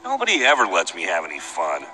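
A man's voice: deep voice, huge pitch swings, fast, sharp tone, soft background music, exaggerated voice, rough recording. An actor playing a mischievous big young guy who is eager to steal, in a video game. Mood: sulky, mischievous.

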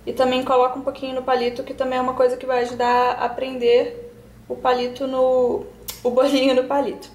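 A woman's voice: high-pitched, completely flat delivery, brisk, darkish tone, roomy sound. A young woman in her twenties from Brazil, chatting through a cooking tutorial in her home kitchen and explaining each step to her viewers. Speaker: cooking vlogger.

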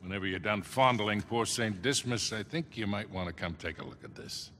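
A gruff old rogue mentor. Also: male voice, on the low side, brisk, sharp tone, a little hiss or hum. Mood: teasing. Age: older. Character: gruff older male mentor, cigar-chewing veteran rogue. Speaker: adventurer. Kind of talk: video game cutscene acting. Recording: game audio with clear music behind.

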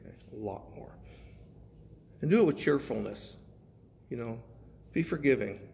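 A man's voice: medium pitch, lively intonation, moderate pace, vocal fry, a little mellow. A man preaching a sermon as a guest pastor, reading scripture and explaining it in a conversational, folksy manner with frequent check-ins to his listeners. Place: large echoey room, preaching to a congregation. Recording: echoey hall with faint background noise.

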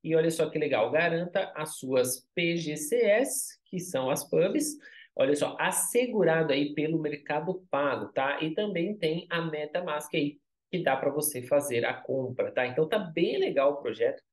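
A young man hosting a crypto channel, talking upbeat and salesy over a screen-share walkthrough, always tagging lines with quick asides. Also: a little high, lively intonation. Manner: upbeat, salesy, constant "olha só" and "tá?" tags.

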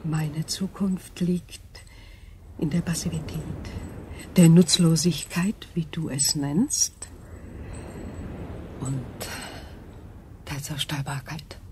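Radio drama: ancient, wise alien being, lowish and mellow, formal, philosophical delivery. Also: female voice, steady tone, slight background noise. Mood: resigned. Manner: measured, formal, detached, philosophical. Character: ancient crystalline alien being, female-voiced, calm and wise. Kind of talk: science-fiction radio drama acting.

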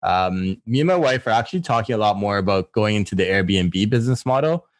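A man co-hosting a real estate podcast in casual chat, quick and filler-heavy with casual swearing. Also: fairly low pitch, lively intonation, no room sound, clean studio sound. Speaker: podcast co-host and mortgage broker.

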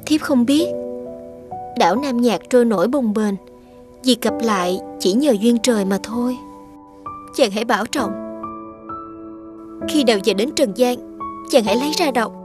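A woman voicing a devoted young fairy wife in an animated fairy tale, gentle and formal in her phrasing. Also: a little high, moderate pace, pauses often, music in the background, close to an everyday voice. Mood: sorrowful, pleading.

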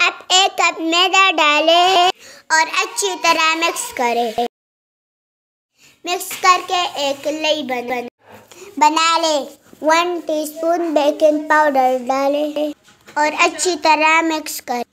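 A woman's voice: high-pitched, bright, forceful tone, slight background noise. A young girl hosting a home cooking video, giving step-by-step recipe instructions and stumbling over words now and then. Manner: halting, repeats words when stuck. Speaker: cooking show host.

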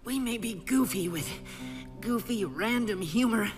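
An actor playing an intense, goofy teenage superhero leader in a cartoon, speaking with dramatic emphasis. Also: male voice, high voice, measured pace. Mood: determined. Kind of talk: animated cartoon acting. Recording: long reverb, clear music behind.

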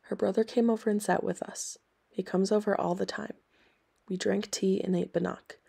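A woman reading aloud scripted story and history narration for listeners in a measured, plain way. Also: medium pitch, fairly even intonation, vocal fry, mellow, slightly rough. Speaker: storybook reader and history presenter.

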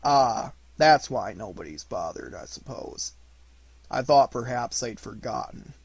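A man's voice: medium pitch, sing-song, a little slow, creaky, husky, no echo, pitched below the performer's own voice, full character voice. A man voicing a gloomy, self-pitying old toy donkey with a mournful, resigned, sardonic manner in a storybook reading.

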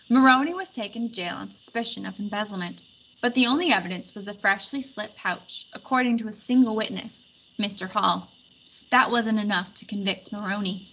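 A woman reading a story aloud as narrator, with expressive scripted delivery. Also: mid-range voice, moderate pace. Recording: dull lo-fi recording with background noise.

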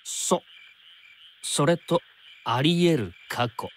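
An easygoing young time traveler from an anime game, chatty and slangy. His voice sits a little high and swings lively between breezy and worried, over faint noise of night insects. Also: very slow, rough recording. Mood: serious, subdued. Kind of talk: Japanese anime game voice acting.

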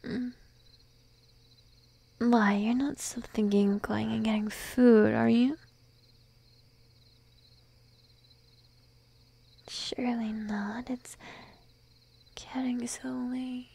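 A woman voicing a shy, needy young sheep-girl girlfriend in an intimate ASMR roleplay, soft, hesitant and drowsy, mostly whispering. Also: fairly high pitch, little pitch movement, vocal fry, breathy edge, heavily acted. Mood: sleepy, pleading. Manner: timid, hesitant, drowsy, slow and cooing.

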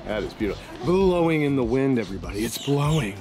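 A man's voice: mid-range voice, very animated, quick pace, over music. A man with a Maine accent, hosting a casual vlog outdoors and chatting loosely with many fillers and restarts. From Maine, USA. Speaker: vlog host. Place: outdoors in a town.

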